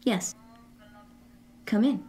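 An actress playing a mysterious, reclusive woman with occult leanings in an audio drama, measured and calmly insistent. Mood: calm, eerie. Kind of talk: naturalistic audio drama acting.

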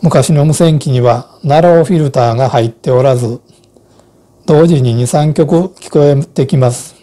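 A man in his sixties reading a scripted account and instructions in a measured, formal way. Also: mid-range voice, warm tone. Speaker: co-host of an amateur radio Morse code channel. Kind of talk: scripted personal narration and instruction.

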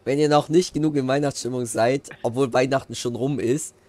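A man's voice: medium pitch, brisk, no echo. A man hosting a farming game let's play, chatting casually and slangily with friends. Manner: relaxed, slangy, signs off the episode. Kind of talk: casual gaming chat with friends.

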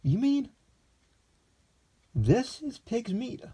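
A man reading aloud and voicing a naive, excitable young man who gushes over his food in breathless exclamations, pitched well above his own voice. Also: drawn-out words, breathy edge, full character voice. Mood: bewildered.

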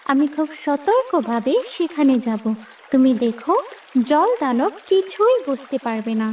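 A woman's voice: high voice, big pitch swings, unhurried, darkish tone, slight background noise. A woman voicing a tiny, clever water creature in a fairy-tale cartoon, with an earnest, childlike manner. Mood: eager, confident. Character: tiny clever water creature, eager, careful little helper. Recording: distant voice with music bed.

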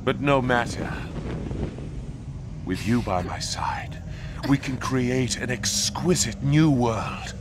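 An actor playing a suave, refined and possessive demon lord in a video game, urbane and languid. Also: male voice, mid-range voice, lively intonation, takes it slowly, pauses often, background noise. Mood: smug tenderness. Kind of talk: video game villain acting. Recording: loud game ambience behind.

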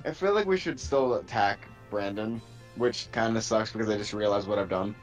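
A man's voice: fairly low pitch, moderate pace, poor audio. A young man chatting casually and bantering with friends while playing a game. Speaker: player in a group gaming video. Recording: game music behind the voice.

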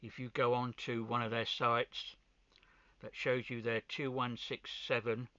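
Male hobby presenter, slow halting informal delivery, forceful bright tone, dull-sounding close recording. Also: medium pitch, little pitch movement, dry recording. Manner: halting, pausing between short phrases. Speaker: amateur radio hobbyist presenter. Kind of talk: informal hands-on video demonstration.

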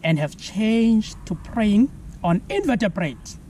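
Man, South African accent, 30s, children's science lesson, slow and deliberate, faint outdoor noise. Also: sits high, very animated, darkish tone. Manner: slow, clear, asks viewers to spell words. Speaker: environmental educator. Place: outdoors on a lawn.

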